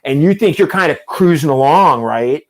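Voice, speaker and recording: A man's voice: fairly high pitch, expressive, normal speed. Rambling self-mocking man in his fifties. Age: 50s. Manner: rambling, self-deprecating storyteller. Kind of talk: casual chat.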